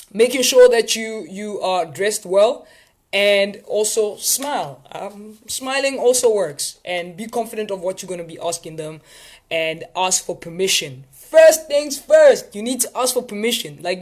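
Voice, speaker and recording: A man with a South African accent hosting a casual live session for viewers, talking in a rambling, playful way. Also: high-pitched. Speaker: host of a live tips session.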